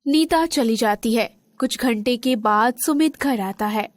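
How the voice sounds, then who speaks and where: A woman narrator tells a scripted children's story. Her voice sits high, and the recording is dry with no room sound. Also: unhurried, no echo.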